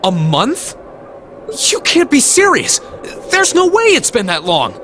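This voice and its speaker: A man's voice: very high voice, wildly swooping pitch, a little slow, a bit bright, slight background noise, exaggerated voice. A man playing an earnest, stubborn young male hero in a video game, speaking with open emotion. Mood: shocked, dismayed.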